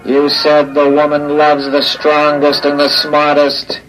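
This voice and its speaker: A childlike robot from a vintage radio play, obedient yet menacingly lovesick. The voice is a harsh, halting drone, very slow and completely monotone, a little higher than most men. Music plays clearly behind it. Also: male voice, poor audio. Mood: coldly possessive. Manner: halting, literal, droning. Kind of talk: 1950s radio drama acting.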